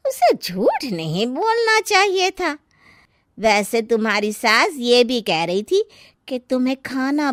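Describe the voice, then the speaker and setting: Woman acting slow, scheming meddling aunt. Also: a little high, a bit bright, no room sound, studio quality, heavily acted. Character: scheming meddling older aunt, sly and sweet-tongued. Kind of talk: dramatized Hindi moral story.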